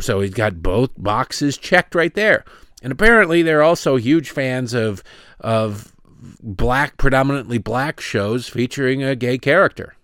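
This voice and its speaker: A man hosting a show, delivering sarcastic, mocking political commentary with big swings in pitch. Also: dry recording, studio quality. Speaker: host.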